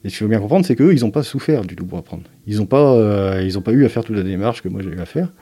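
A man giving an interview as a parent, speaking in a hesitant, thoughtful way with frequent pauses and fillers. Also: lowish voice, animated, warm tone, slightly rough. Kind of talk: reflective interview testimony.

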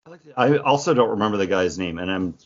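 A man's voice: sits low, expressive, fast talker. A man chatting casually as a podcast co-host. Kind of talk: casual chat. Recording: dull lo-fi podcast audio.

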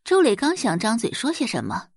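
A woman's voice: a little high, wildly swooping pitch, a little fast, a little mellow, breathy edge, no echo, clean studio sound. A woman giving dramatic scripted narration as the narrator of an audio drama.

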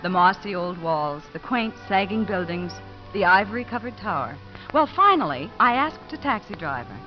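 An actress playing a wistful, warm-hearted young social worker who tells her story in a 1950s radio drama. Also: medium pitch, sing-song, normal speed, soft background music, close to an everyday voice. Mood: reflective. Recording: muffled 1950s radio recording.

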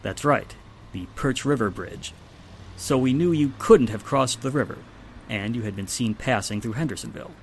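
A man playing a steady, reassuring police inspector in old-time radio drama style, measured and explanatory. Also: mid-range voice, slight background noise, natural voice. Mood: matter-of-fact.

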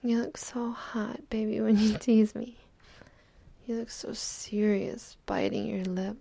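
A young adult woman performing an intimate audio roleplay, speaking mostly in a soft, teasing whisper. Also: a little high, steady tone, slow, gravelly.

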